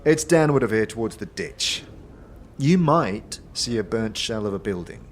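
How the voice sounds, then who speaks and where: A man's voice: on the low side, expressive, moderate pace, slight background noise, lightly acted. A man voicing a chirpy, theatrical tavern keeper in a fantasy audio drama, florid, hesitant and conspiratorial. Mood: miffed. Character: chirpy, theatrical male tavern keeper with flair for spooky tales.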